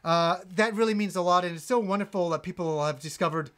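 A man in his forties, a gaming channel host, reading donations on a live stream with lively enthusiasm and rambling restarts, in a room with game shelves. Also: sits high, fast talker. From Yorkshire, England, raised in Canada. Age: 40s.

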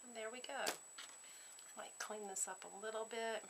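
A woman giving a casual step-by-step craft demonstration at her worktable, chatting in a relaxed way while working with her hands. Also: a little high, normal speed, gravelly, heavy background noise. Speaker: craft tutorial host.

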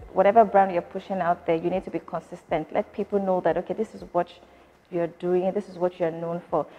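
A Nigerian woman in her thirties, a company founder, answering interview questions in a measured, thoughtful way with frequent fillers, recorded indoors in a factory. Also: on the low side, a little mellow, in a large hall, poor audio.

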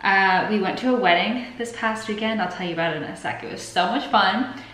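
A woman's voice: mid-range voice, a little fast, a bit bright, a little hiss or hum. A woman in her twenties, a bit sick and sniffly, chatting casually and rambling to the camera in her home kitchen. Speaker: vlogger. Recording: echoey kitchen.

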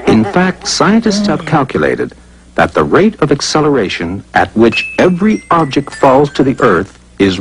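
A man's voice: mid-range voice, background noise. A man narrating a scripted educational cartoon in a playful, conversational way, full of rhetorical questions.

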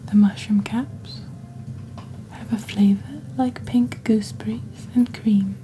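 A woman playing a calm, mysterious witch presenting a shopping channel in soft, breathy, near-whispered ASMR, with slow and deliberate phrasing, close to the microphone. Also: mid-range voice, soft background music, close to an everyday voice. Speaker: host of an ASMR roleplay channel. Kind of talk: soft-spoken ASMR scripted product presentation. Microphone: close desk microphone.

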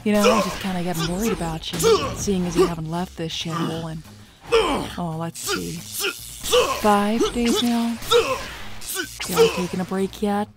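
A woman's voice: on the low side, animated, normal speed, pauses often, poor audio. A woman voicing a sly, sarcastic adult female bat in a fan cartoon, drawling her words. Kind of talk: fan-made cartoon voice acting. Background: loud background music.

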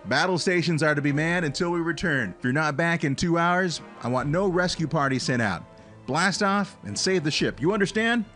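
A man's voice: on the high side, expressive, moderate pace, a bit bright, faint music behind. A man playing a stern, agitated spaceship captain in a radio drama, speaking with commanding urgency. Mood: commanding, urgent.

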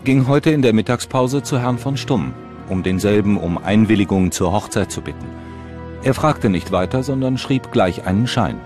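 An 1890s ironworks foreman , played by a man, reads his diary entry aloud for a documentary. His voice is fairly low, and music plays clearly behind it. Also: expressive, normal speed, natural voice. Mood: matter-of-fact. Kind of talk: dramatised diary reading in a documentary.

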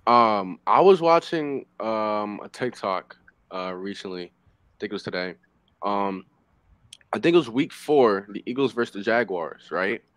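Young guy on a headset call, kinda deep, rambly football talk, dry sound. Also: lively intonation, unhurried, fairly bright tone, no echo. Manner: loose, rambling with fillers. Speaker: panelist. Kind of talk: casual sports chat.

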